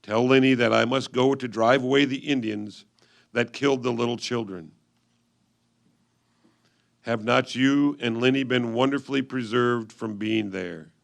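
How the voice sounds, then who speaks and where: Male reader for reader's theatre: historical letters, solemn, measured, with noticeable gravel in the voice. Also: mid-range voice, fairly even intonation.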